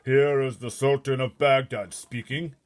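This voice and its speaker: A man voicing a formal, blunt sultan who declaims gravely in a storybook read-aloud. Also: medium pitch, steady tone, unhurried, pitched above the performer's own voice, lightly acted. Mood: blunt, pompous.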